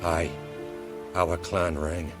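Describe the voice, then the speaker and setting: An actor playing a tormented, guilt-ridden Norse clansman in a video game, speaking solemnly in archaic phrases with a rough voice. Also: sits low, little pitch movement, drawn-out words, over music. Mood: haunted, reverent. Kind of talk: video game cutscene acting. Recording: long hall-like reverb.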